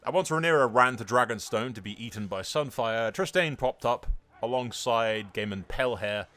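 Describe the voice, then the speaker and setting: A man doing casual, sweary video game commentary, switching between excitable reactions, explanations and improvised story narration. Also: mid-range voice, moderate pace, soft background music. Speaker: video game streamer narrating a role-play playthrough.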